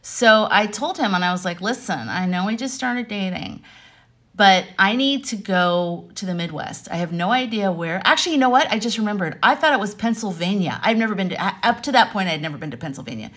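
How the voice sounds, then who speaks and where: An American woman in her thirties or forties from Arizona, chatting to camera at home in an animated, rambling, conversational way as she tells personal stories. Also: medium pitch, brisk. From Arizona, United States. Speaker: vlogger and life coach. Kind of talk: casual personal storytelling to camera. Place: home room with wooden beams, indoors.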